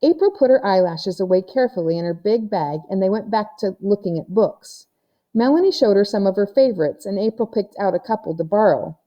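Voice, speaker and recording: A woman's voice: mid-range voice, expressive, a little fast, dull audio. A woman narrating a children's novel as a read-aloud storyteller. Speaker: audiobook reader.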